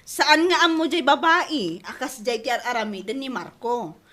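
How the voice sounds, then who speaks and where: A woman's voice: high-pitched, lively intonation, measured pace, a bit bright, exaggerated voice. A woman with an Ilocano accent acting as a gossipy, exasperated complainer in a radio comedy drama, incredulous and scornful.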